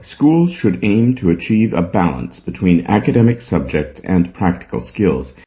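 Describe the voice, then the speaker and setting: A man reading an exam essay as scripted narration. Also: low voice. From Canada. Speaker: essay reader. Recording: slightly dull, lo-fi recording.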